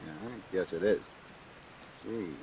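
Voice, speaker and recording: An actor playing a rough, uneducated gangster who talks in slangy, ungrammatical tough-guy speech in a vintage radio drama. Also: sits low, unhurried, darkish tone. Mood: wary, greedy. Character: rough, uneducated wounded gangster and killer for hire. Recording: hissy, noisy old radio recording.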